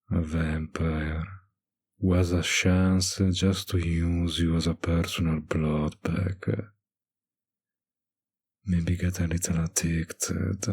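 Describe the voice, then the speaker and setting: A man performs a centuries-old male vampire barista for an intimate roleplay audio. His voice is deep and husky with a heavy gravelly fry. He teases with a possessive, quietly menacing charm, leaving soft hesitant pauses between phrases. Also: exaggerated voice. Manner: slow, teasing, hesitant drawl with soft pauses.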